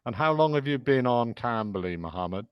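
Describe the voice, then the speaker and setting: A man tutoring English on an online call, explaining patiently and slowly. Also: mid-range voice, animated, smooth, no echo, dull audio. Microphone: video call.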